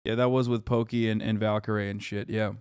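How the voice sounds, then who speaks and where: A man's voice: on the low side, flat delivery, fairly quick, a little mellow, clear, resonant tone, no room sound, clean studio sound. A man in his thirties streaming live, chatting casually and bluntly with his chat, swearing often. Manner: profane, blunt, joking with chat. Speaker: streamer reacting to videos.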